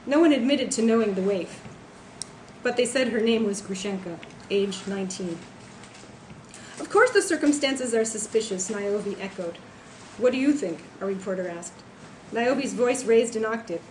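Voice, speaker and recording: A woman's voice: medium pitch, lively intonation, normal speed, darkish tone. Woman reading fiction in an echoey room. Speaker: author reading own novel. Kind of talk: literary prose reading. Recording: echoey room, faint background noise.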